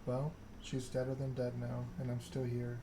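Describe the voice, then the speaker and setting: A man voicing a proud, scheming vampire in a measured, self-important monologue, read aloud in an ASMR style from a game. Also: medium pitch, completely flat delivery, noisy background, close to an everyday voice. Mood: bitter, resolute. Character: proud, scheming vampire aristocrat telling their story.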